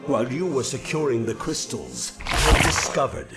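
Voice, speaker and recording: Proud alien judge, bitter, declamatory, medium pitch, video game, loud score behind. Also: male voice, wildly swooping pitch, normal speed. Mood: defiant. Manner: pompous, declamatory. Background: loud game music.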